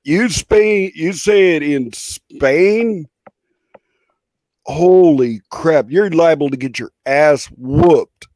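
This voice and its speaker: Slow, rambling man telling stories. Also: on the high side, no room sound. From Southern California. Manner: rambling, relaxed storyteller. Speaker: guest in a stream chat. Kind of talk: casual storytelling chat.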